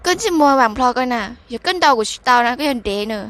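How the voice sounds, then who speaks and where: Woman acting a higher-voiced young cartoon sweetheart. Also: animated, racing, background noise, lightly acted. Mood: conversational. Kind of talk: amateur animated cartoon dialogue.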